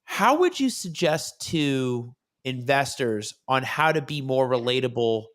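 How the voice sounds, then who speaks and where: A man hosting an interview, asking questions in a halting way and searching for words. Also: mid-range voice, lively intonation, a bit bright. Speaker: host.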